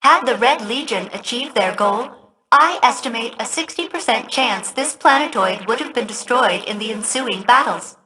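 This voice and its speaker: A chipper female ship AI for a video game. She sounds bright and fairly high, precise and pleased with herself. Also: lively intonation, moderate pace, low-quality recording. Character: chipper, literal-minded female ship computer AI.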